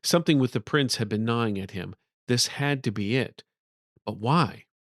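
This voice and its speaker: A man's voice: mid-range voice, normal speed, a bit bright, no echo. Male fantasy storyteller, dry close sound. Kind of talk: scripted fantasy audiobook narration.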